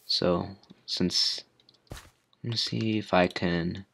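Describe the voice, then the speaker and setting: Low, slow, hoarse young guy gaming. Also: steady tone, fairly bright tone. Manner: rambling, lots of fillers. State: hoarse from a cold. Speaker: gamer. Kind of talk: casual gameplay commentary.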